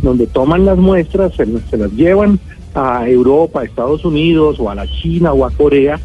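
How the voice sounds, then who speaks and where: A man's voice: on the high side, expressive, moderate pace, a little hiss or hum. A man with a Colombian accent explaining as an expert guest in a radio interview, emphatic and given to everyday examples. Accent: Colombian Spanish. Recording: narrow phone-like line, music.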